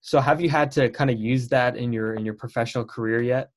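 Young male interviewer, relaxed and halting, mid-range voice. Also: medium pitch, a little hiss or hum. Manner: friendly, hesitant, repeats words. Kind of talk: casual interview.

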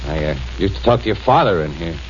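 A hotheaded press photographer, lowish voice, old radio sound with a little hiss. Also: male voice, expressive. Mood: earnest. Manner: brash, quick to anger. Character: hot-tempered man, crime photographer. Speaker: news photographer. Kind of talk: 1940s radio acting. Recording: dull old radio broadcast with faint hiss.

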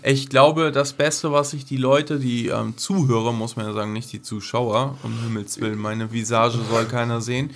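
A man's voice: mid-range voice, steady tone, normal speed, few pauses, a little hiss or hum. A man co-hosting a casual podcast in a colloquial, joking, somewhat hesitant way. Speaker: podcast co-host.

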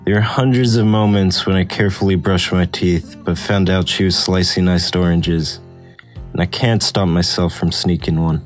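A man's voice: low voice, fairly even intonation, normal speed, faint music behind. A man reading a personal essay aloud as scripted narration. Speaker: essay reader.

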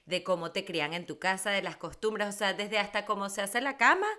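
A woman co-hosting a podcast in an expressive, colloquial, storytelling way. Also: medium pitch, a little fast. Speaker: podcast co-host. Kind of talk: casual conversational podcast talk.

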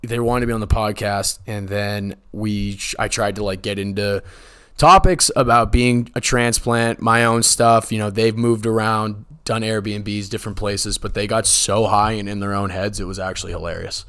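A man in his twenties with a raspy voice, co-hosting a podcast in a loose, rambling way, often laughing. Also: fairly low pitch, steady tone, moderate pace, a bit bright. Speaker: podcast co-host. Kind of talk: casual chat.

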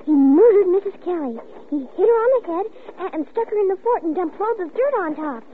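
Frightened young girl, very high voice, urgent and breathless, radio play, thin telephone-like old recording with hiss. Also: expressive, brisk. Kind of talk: old-time radio drama acting.

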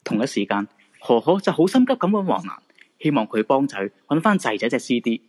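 A man's voice: medium pitch, big pitch swings, a little fast, darkish tone. A man with a Hong Kong Cantonese accent narrating a supernatural radio drama in a dramatic storytelling style.

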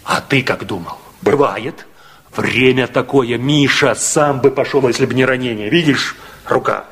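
An actor playing a firm, persuasive young-communist official in a radio drama, with an emphatic, instructive delivery. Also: male voice, medium pitch, a little slow, fairly bright tone. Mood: firm, sober. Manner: emphatic, instructive, matter-of-fact. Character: brisk, persuasive male Komsomol official, comradely and firm. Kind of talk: 1960s Soviet radio drama acting. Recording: faintly noisy 1960s radio recording.

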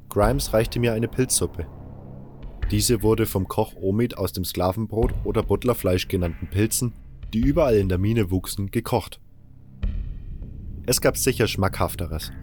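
A man's voice: lowish voice, darkish tone. A man reading scripted first-person fantasy narration as an audiobook narrator.

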